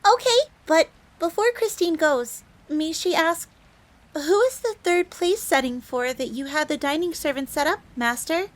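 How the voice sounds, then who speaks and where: A woman's voice: high voice, unhurried. A woman playing a perky, devoted young fox maid in an audio roleplay, with a cutesy, eager manner. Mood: eager, dutiful. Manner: cutesy, eager, refers to herself in third person. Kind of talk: scripted audio roleplay acting.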